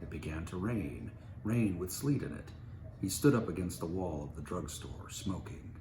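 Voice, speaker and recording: Male storyteller, low-pitched, narrating prose; echoey room. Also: lively intonation, normal speed, warm tone. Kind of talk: story narration, reading aloud. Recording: roomy, noisy home recording.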